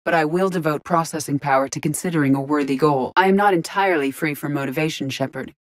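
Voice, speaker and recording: An actress voicing a female artificial intelligence in a video game, speaking in a measured, formal, precise and detached way. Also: low voice, lightly acted. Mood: calm, analytical. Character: calm, analytical female artificial intelligence in an android body.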